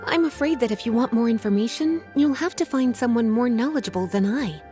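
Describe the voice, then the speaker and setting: A woman's voice: on the high side, a little fast, a little mellow, soft background music, lightly acted. A woman voicing a poised, teasing, knowing female librarian in a video game, with formal wording. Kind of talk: scripted video game dialogue and storytelling.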